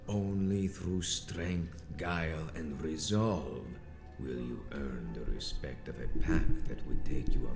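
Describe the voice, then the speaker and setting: Very deep, menacing vampire lord. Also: male voice, vocal fry, reverberant, full character voice. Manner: pompous, ornate, deliberate delivery. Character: menacing vampire Sabbat leader, grandiose, cold, imperious. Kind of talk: theatrical video game villain acting.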